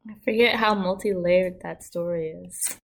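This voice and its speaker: A woman's voice: lowish voice, steady tone, normal speed, creaky. A woman speaking as an interview guest in a casual, conversational discussion.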